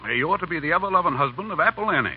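An actor playing a tough, slangy, commanding gambling boss in a 1930s radio drama. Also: male voice, fairly high pitch, animated, racing, slightly rough. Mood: slyly amused. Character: brash, commanding male big-city gambling gang boss. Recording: muffled, noisy 1930s radio broadcast.

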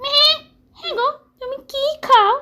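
A woman's voice: very high-pitched, measured pace, sharp tone, voice pushed up, full character voice. A woman voicing a small, clever young goat, eager and singsong, in a children's story. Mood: curious, eager. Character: small clever naive young goat kid, curious and cheeky.

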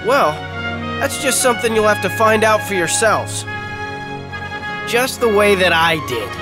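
A man's voice: high-pitched, very animated, unhurried, over loud music. A man playing a friendly, earnest, muscular young hero in a video game, with a clean-cut, easygoing way of talking. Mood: encouraging. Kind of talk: animated video game character acting.

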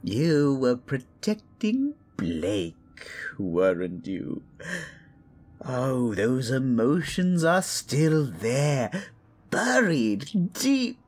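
A sly, theatrical fantasy witch for an audio roleplay. Her pitch swoops dramatically through slow, coaxing lines, and the tone is husky. She sounds genteel and knowing, like a hostess toying with a guest. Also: sing-song, a little mellow, heavily acted. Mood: probing, knowing. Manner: drawn-out, coaxing, calls listener dear.

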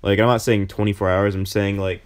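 Rambling young man, low voice. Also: level tone, a little fast, clean tone. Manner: rambling, many fillers and restarts. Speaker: gaming YouTuber. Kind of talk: casual gameplay commentary.

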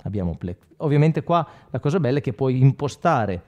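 A man's voice: medium pitch, big pitch swings, a little fast. A man, explaining things informally in a conversational tutorial manner, often checking in with the listener. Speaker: guitar instructor and product demonstrator. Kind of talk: informal video tutorial, explaining between guitar demos. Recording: dull lo-fi sound, loud guitar playing. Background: loud electric guitar with effects.

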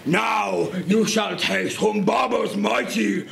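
A mighty stage ogre, pitched very high and gravelly. He drags out his boastful, menacing taunts very slowly. The recording is noisy. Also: heavily acted. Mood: roaring threats. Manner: booming theatrical taunts and roars. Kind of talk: live storytelling theatre.

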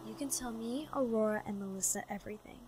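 A woman's voice: on the high side, fast talker, noisy background, close to an everyday voice. A woman playing a proud, determined young cartoon princess with a firm, commanding manner. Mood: guarded, firm.

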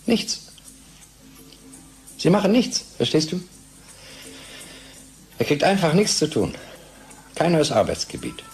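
An actor in a German radio play playing a troubled, nagging husband, agitated and worn down by office life. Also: male voice, a little high, huge pitch swings, slow, vocal fry, long pauses, darkish tone, faint music behind, exaggerated voice. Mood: exasperated.

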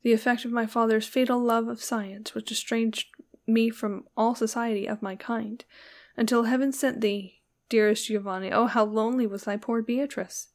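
Tender, anguished young woman, high and plaintive , acted by a narrator. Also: fairly even intonation, normal speed, a little mellow, close to an everyday voice. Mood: tender sorrow. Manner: plaintive, pleading, archaic phrasing. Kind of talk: dramatic literary audiobook reading.

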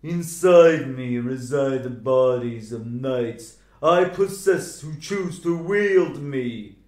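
A man's voice: mid-range voice, animated, darkish tone, echoey room. A man doing a grim living suit of armor. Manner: heavy, deliberate declaring.

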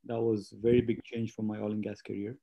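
A man in his late thirties from India chats in a very dark, mellow voice. He rambles, restarts often and fills pauses as he tells his story. The sound is slightly dull and lo-fi. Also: fairly low pitch, level tone. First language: not English. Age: late 30s. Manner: rambling, many restarts and fillers, laughs easily. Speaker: guest, spa business owner. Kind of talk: casual interview conversation.

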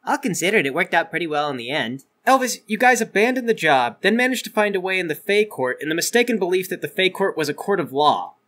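Animated man as game master. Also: high voice, fast, hardly any pauses. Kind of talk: comedic tabletop roleplay banter.

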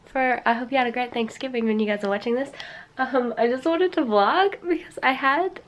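Hoarse young woman vlogging. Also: fairly high pitch, brisk. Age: young adult. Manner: giggly, rambling, many likes and ums. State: hoarse, voice deepened by a cold. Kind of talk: casual vlog chat. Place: bedroom at home, then staff room at work. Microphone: handheld camera at arm's length.

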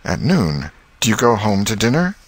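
Man voicing a folksy schoolboy, pitched up. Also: huge pitch swings, moderate pace, slightly rough, no echo, exaggerated voice. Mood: coaxing, coy. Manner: folksy, plain-spoken. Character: cheeky, folksy American schoolboy, sweet on a girl.

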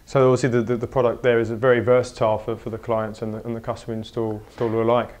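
A man's voice: mid-range voice, level tone, fast, a little mellow. A man interviewing on camera in an informal, slightly hesitant way. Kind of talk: on-camera trade show interview.

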